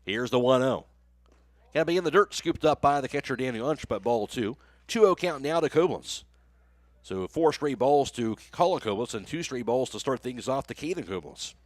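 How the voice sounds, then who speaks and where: A man's voice: mid-range voice, animated, normal speed, no echo. An American man calling a baseball game live as a radio play-by-play announcer, in clipped phrases that follow the action.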